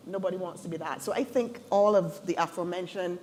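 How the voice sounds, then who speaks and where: Earnest woman panelist, low voice. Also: fast talker, a little mellow, a little hiss or hum. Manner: earnest, emphatic, building points in lists. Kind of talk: webinar panel discussion.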